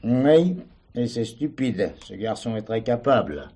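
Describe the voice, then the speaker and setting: An actor playing a courteous provincial gentleman in a stage play, speaking formally and deliberately. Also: mid-range voice, sing-song. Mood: earnest, admiring. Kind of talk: French stage play dialogue.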